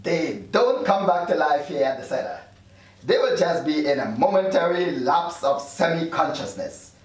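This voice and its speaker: A man's voice: a little high, lively intonation, normal speed, natural voice. A man playing an unruffled, instructive medical supervisor in a drama table read. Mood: matter-of-fact. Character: matter-of-fact male medical supervisor in the afterlife. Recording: echoey room, distant mic.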